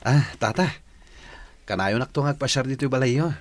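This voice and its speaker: An actor playing a flattering older male suitor in a radio drama. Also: medium pitch, normal speed, lightly acted. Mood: smitten.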